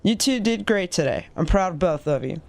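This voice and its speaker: A lowish-voiced woman adventurer played by an actress in an audio drama. She is casual and teasing, a mentor to her companions, with animated delivery. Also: normal speed, creaky. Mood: encouraging.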